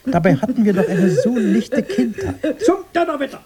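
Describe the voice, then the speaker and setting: An actor playing a bossy, bitter brother in a radio drama, husky, blustering and insistent in a mock-earnest way. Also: male voice, very high-pitched, very animated, normal speed, a loud crowd. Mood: exasperated, commanding. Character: bossy, bitter brother recalling a poor childhood.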